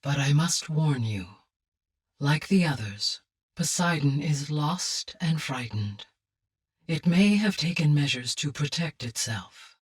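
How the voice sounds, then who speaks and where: A benevolent artificial intelligence from a video game. Her female voice is slightly breathy and sounds a little removed from the mic. She explains things calmly, in formal, exact, measured sentences. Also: sits low, distant mic, heavily acted. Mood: composed, explanatory. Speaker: game character, advisor. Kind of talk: scripted video game dialogue.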